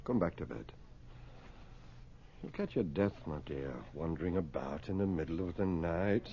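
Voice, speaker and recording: A muffled, noisy old radio drama recording carries a frightened, grieving middle-aged husband. He pleads haltingly and emotionally, his pitch leaping about. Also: lively intonation. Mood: weary, gentle. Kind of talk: radio horror drama acting.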